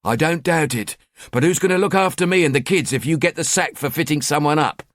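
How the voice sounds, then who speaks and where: A man's voice: on the high side, a little fast, a bit bright, no room sound, studio quality, voice pushed up, close to an everyday voice. A man voicing a nagging middle-aged wife with an East London accent, sarcastic and scolding.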